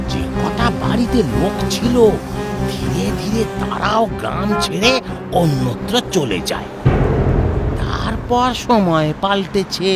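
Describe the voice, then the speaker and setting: A performer playing a helpful villager who explains things with dramatic, solemn storytelling in a cartoon, with loud music behind. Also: mid-range voice. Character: helpful, solemn villager recounting local ghost lore. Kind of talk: cartoon voice acting.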